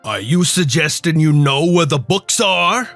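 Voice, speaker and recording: A man playing a measured, dryly formal and menacing crime boss in an old-time detective radio drama. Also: a little high, animated, fairly bright tone, faint music behind. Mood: calculating.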